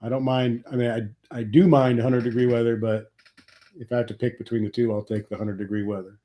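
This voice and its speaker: A man's voice: medium pitch, level tone, fast talker, dark tone. A middle-aged man from Nebraska, sniffly from a cold, complaining wryly in a rambling live chat with his viewers. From Nebraska, USA. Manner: rambling, wry complaining about taxes. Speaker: livestream host.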